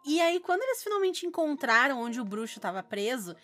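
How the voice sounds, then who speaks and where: High-pitched southern Brazilian woman telling stories. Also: brisk. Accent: southern Brazilian Portuguese from Rio Grande do Sul. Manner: animated anecdote telling with slangy speech. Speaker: podcast co-host. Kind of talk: casual chat, storytelling.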